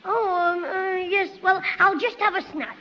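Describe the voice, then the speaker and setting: A cheeky, boastful schoolboy with a very high, piping voice. He fires off quick-witted punchlines in 1960s radio-comedy style. The sound is dull and old, with a long hall echo behind it. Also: slight background noise.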